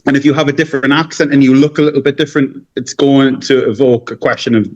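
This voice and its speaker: A man's voice: mid-range voice, level tone, fast talker, darkish tone, highs cut off. A man with a North-East English accent telling stories casually as an interview guest, rambling and often repeating his words. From North-East England. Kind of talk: casual conversational storytelling.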